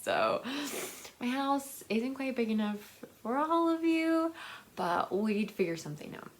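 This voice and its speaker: A woman's voice: on the high side, expressive, measured pace. A woman chatting casually and enthusiastically to viewers in a rambling, conversational book vlog. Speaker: book vlogger.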